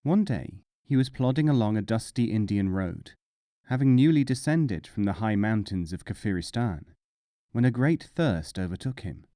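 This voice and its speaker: A man narrating short tales as an audiobook, with measured, deliberate phrasing and dramatic pauses. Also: lowish voice, animated, mellow, dry recording, studio quality. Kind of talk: scripted storytelling narration.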